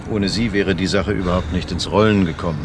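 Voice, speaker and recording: An actor playing an ambitious, cold-blooded senior prosecutor in a radio crime drama, speaking formally and with condescension. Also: male voice, deep voice, steady tone, a little fast, natural voice. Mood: superior, lecturing. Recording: clear urban outdoor and vehicle noise.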